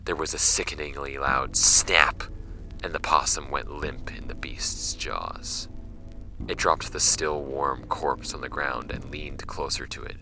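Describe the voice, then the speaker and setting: A man playing a skeptical archivist in an audio drama, reading case files aloud in a creaky voice. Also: steady tone, measured pace, pushed and bright, full character voice. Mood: hushed dread. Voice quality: creaky, very deep. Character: dry, skeptical male archivist recording case notes. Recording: music bed under the voice.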